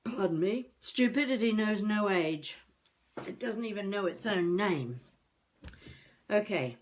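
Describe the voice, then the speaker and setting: Wry elderly woman musing to herself, played by an actress. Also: medium pitch. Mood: indignant, wry. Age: older. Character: wry elderly woman, wannabe novelist, rambling and feisty. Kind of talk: intimate comic monologue as video diary.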